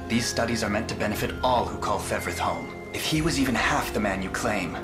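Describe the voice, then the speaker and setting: A man playing a noble young prince who leads an army in a video game, speaking in a measured, earnest, formal way. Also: on the low side, close to an everyday voice. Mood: earnest resolve. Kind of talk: video game cutscene acting. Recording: echoey, loud music behind.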